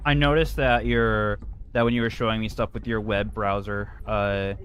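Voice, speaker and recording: Slow, dry-spoken low-voiced man. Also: little pitch movement, fairly bright tone, slight background noise. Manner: slow, deliberate, dry remarks. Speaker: streamer and host. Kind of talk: casual chat while playing a video game.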